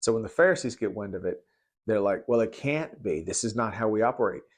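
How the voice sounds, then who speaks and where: Low-voiced man teaching the Bible. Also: lively intonation. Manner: halting, conversational, repeats words. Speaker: preacher giving Bible commentary.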